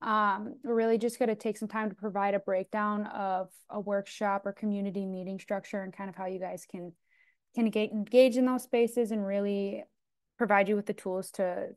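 Smooth-voiced young woman hosting a video call. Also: mid-range voice, a little mellow, no room sound, studio quality. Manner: upbeat, many ums, welcoming. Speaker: outreach coordinator and meeting host. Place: dim room indoors. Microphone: earbuds on video call.

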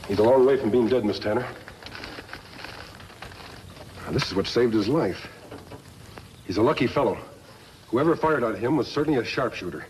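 A man playing a calm, commanding masked western hero in a 1950s radio drama, authoritative and decisive. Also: medium pitch, a little fast, close to an everyday voice. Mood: calm, reassuring. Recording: slightly noisy 1950s radio recording.

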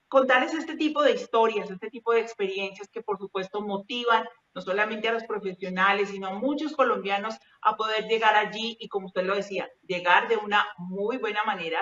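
A woman's voice: medium pitch, fairly bright tone. A woman hosting a news interview over a video call, speaking formally and effusively in long phrases. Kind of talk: interview host remarks. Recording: distant, echoey, dull video-call audio.

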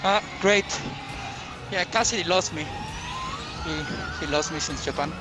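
A man's voice: high voice, measured pace, poor audio. A man driving a race car, speaking over team radio from the cockpit. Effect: team radio transmission. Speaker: racing driver. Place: inside a racing car cockpit. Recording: cockpit radio under music, car noise.

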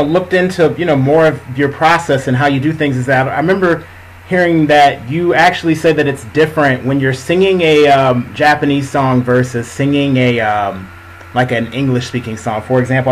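A man hosting a casual interview who rambles excitedly with many fillers. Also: medium pitch, fairly quick, slight background noise, poor audio. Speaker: interview host.

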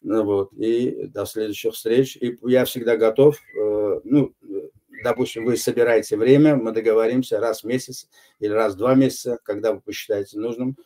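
Older man, low mellow voice, deliberate interview answers full of pauses. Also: steady tone. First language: Azerbaijani. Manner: measured, unhurried, frequent hesitations. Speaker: interview guest. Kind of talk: recorded online interview answers.

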